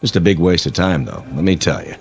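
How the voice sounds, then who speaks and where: Smug, very deep-voiced hawk. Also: male voice, brisk, faint music behind. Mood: smug, gleeful. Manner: gloating, needling, emphatic. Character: smug, hawkish male colleague, gloating and menacing. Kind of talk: radio drama acting.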